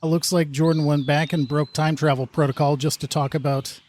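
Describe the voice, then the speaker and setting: An earnest, work-obsessed time detective acted by a man with a slightly high voice. He talks theatrically in a parody radio drama, with faint noise on the recording. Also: flat delivery, fairly quick, a little mellow, close to an everyday voice. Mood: serious. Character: gruff, duty-obsessed male time-crimes investigator who scorns leisure.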